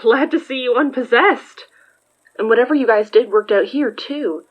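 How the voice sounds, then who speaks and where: A woman's voice: fairly high pitch, moderate pace, muffled recording, natural voice. A woman playing a friendly, casual young woman in a supernatural audio drama. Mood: relieved, casual.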